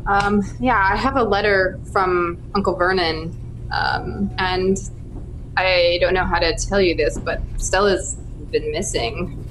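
A woman's voice: fairly low pitch, slow pace, noisy background. A woman voicing a worried young woman writer, hesitant and giggly, with music behind. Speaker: tabletop player.

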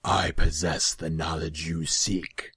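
Slow, rumbling cat demon. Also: male voice, little pitch movement, sharp tone, no echo, full character voice. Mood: amused, mocking. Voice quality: rumbling, growling, breathy whisper. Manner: slow, mock-deferential. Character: mocking feline demon, a rumbling sly Rakshasa.

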